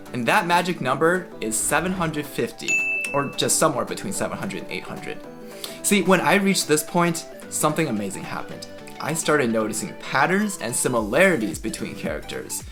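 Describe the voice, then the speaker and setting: A man's voice: mid-range voice, fairly bright tone. A young man from the United States, whose was Chinese, giving a friendly, conversational scripted explanation for a language-learning video.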